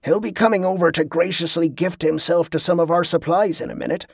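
A man's voice: on the high side, steady tone, brisk, vocal fry, dry recording. A sardonic cook on the road played by a man in a fantasy audiobook. He mutters wry, colloquial jabs, eager to get under the skin. The sound is very dry. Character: sardonic, needling male travelling cook, fond of provoking.

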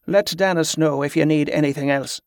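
Man playing a genial, patient group leader, higher-pitched. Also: moderate pace, dry recording, studio quality, natural voice. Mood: welcoming. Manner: welcoming, patient.

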